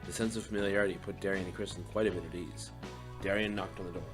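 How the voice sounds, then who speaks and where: A man narrates a fiction audiobook in a fairly low voice. He reads scripted prose, and music plays clearly behind him. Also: fairly even intonation, fast.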